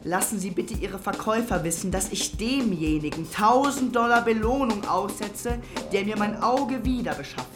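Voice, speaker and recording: An actor playing a naive young man who talks like a stiff, formal gentleman in a German radio play. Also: high-pitched, lively intonation, normal speed, hardly any pauses, over music. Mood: stiffly formal.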